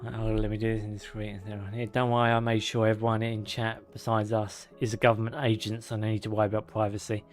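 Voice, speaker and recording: A man streaming a game, reading lore aloud with sarcastic asides, his voice going hoarse and a little out of breath. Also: fairly low pitch, monotone, quick pace, few pauses. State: slightly out of breath. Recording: faint music behind.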